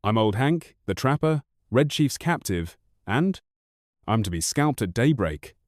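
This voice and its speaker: A man's voice: mid-range voice, very animated, measured pace, dry recording, studio quality. A man voicing a battered, rattled kidnapper who grumbles in a weary, put-upon way.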